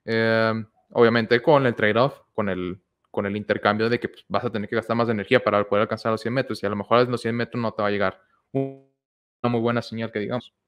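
A young man giving a casual technical talk at an online meetup, pausing often with fillers and restarts, recorded on a headset mic in a small room. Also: fairly low pitch, steady tone, fairly bright tone, clear, resonant tone. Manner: hesitant, many fillers and restarts. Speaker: meetup speaker. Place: small room with whiteboard, indoors. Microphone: headset with boom mic.

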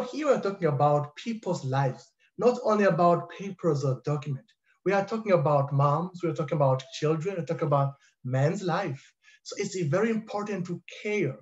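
A man in his thirties whose first language is French, speaking earnestly as a panelist on a video call through a headset. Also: on the high side. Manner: earnest, emphatic, repeats for stress. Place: home living room with high ceiling. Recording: dull muffled video call audio.

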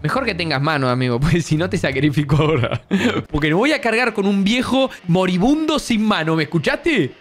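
A man's voice: high-pitched, animated, fairly quick, few pauses, soft background music. A man with an Argentine accent improvising brash, teasing, sweary banter as a survivor in a roleplay game. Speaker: gaming streamer playing a survivor.

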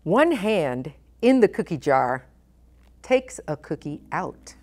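A middle-aged woman presenting a children's storytime, speaking expressively and with deliberate phrasing for young listeners. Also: fairly low pitch, sing-song, darkish tone. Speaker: guest storytime reader, nonprofit president. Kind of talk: children's picture book read-aloud. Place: indoor storytime set at a library.

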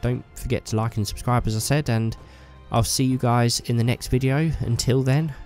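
A man's voice: mid-range voice, fairly even intonation, normal speed, a little mellow, soft background music. A man with a cold, sounding a bit bunged up, chatting casually and enthusiastically to his channel viewers, rambling with fillers. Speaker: YouTuber. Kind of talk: casual hobby vlog talk.

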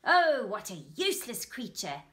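A woman's voice: on the high side, very animated, unhurried, pushed and bright, voice pushed up, exaggerated voice. Woman playing a proud, boastful storybook horse. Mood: scornful. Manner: boastful, taunting, laughing heartily. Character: proud, boastful, fast male horse with a booming laugh. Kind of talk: children's storybook character voice.

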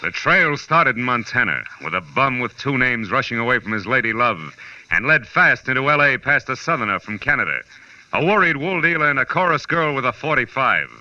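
An actor playing a wry, tough but kind private detective in 1940s radio drama, clipped, slangy and sardonic. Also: male voice, medium pitch, sing-song, brisk, bright, forceful tone, no echo. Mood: punchy. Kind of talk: 1940s radio drama acting, hard-boiled first-person narration. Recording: dull 1940s radio recording, faint music.

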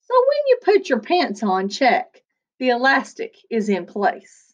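A woman's voice: on the high side, wildly swooping pitch, a little slow, highs cut off. A woman in her forties, a second-grade teacher, reading a picture book aloud with playful, chatty asides to children from a small attic room at home. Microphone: webcam at desk.

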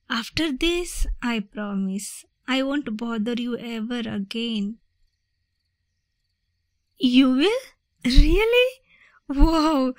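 A woman voicing a sweet, gentle vampire in a soft, hushed, reassuring ASMR roleplay, coaxing her human prey. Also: high voice, dry recording. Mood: delighted.

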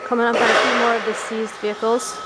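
Woman, upstate NY accent, casual auction walkthrough, high-ish voice, noisy warehouse camera audio. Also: flat delivery, quick pace. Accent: Western New York. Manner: plain, informal, self-correcting as she walks. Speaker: auction house staff giving a walkthrough. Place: large indoor vehicle warehouse. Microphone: handheld camera mic while walking.

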